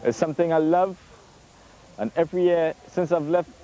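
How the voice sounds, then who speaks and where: A man's voice: on the high side, little pitch movement, normal speed, dark tone, low-quality recording. A man in his forties from Trinidad, a music producer speaking cheerfully and proudly in an interview outdoors. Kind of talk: documentary interview.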